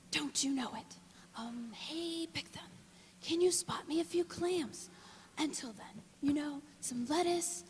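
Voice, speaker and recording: A woman voicing a greedy, scheming small-time gangster in a radio drama, slangy and half-whispering. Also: sits high. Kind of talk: 1940s radio drama acting. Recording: echoey hall reverb.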